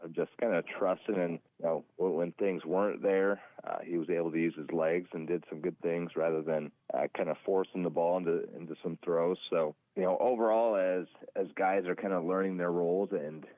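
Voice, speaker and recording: A thin, phone-like radio sound carries an American man's low voice, dry with no room around it. He is a football coach being interviewed, plainspoken and halting as he repeats words. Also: fairly even intonation, no room sound. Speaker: high school football coach, interview guest.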